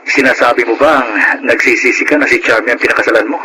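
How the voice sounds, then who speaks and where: A man's voice: mid-range voice, fairly even intonation, fast talker, background noise, close to an everyday voice. An actor playing an easygoing, sympathetic Filipino male friend in a radio drama. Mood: sympathetic, amused. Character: easygoing, sympathetic male buddy giving advice.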